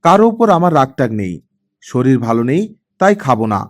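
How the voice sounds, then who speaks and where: A man's voice: mid-range voice, big pitch swings, brisk, natural voice. A man voicing a stern, guarded young woman, an elder sister with a curt, admonishing manner, in an audiobook story. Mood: curt, irritated.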